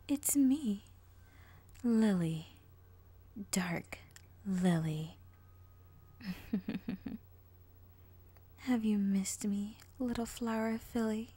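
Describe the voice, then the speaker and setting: A woman voicing a sinister dark female pony spirit, a gloating villain who talks in a slow, taunting, hissing whisper. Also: medium pitch, slightly rough. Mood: menacing.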